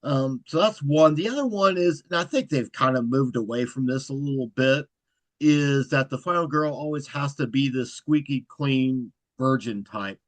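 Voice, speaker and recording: A man talks as a podcast guest in an easygoing, level delivery that he calls monotone. His voice sits at a middling pitch. The sound is close and dry, with no room around it. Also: moderate pace, no room sound. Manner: self-described monotone, even delivery. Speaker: guest, horror content creator. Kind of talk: casual interview chat.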